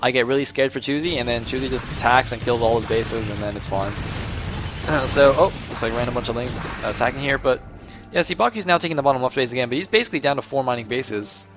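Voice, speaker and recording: Hoarse young man casting a game. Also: mid-range voice, quick pace. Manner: chatty, rambling asides, excitable bursts. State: losing his voice, a little sick. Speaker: esports caster. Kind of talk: solo play-by-play commentary. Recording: music playing underneath.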